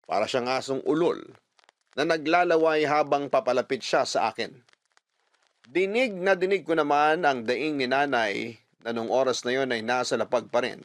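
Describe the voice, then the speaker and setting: A man's voice: medium pitch, no room sound. A man with a Filipino accent giving dramatic scripted narration as the storyteller of a radio drama.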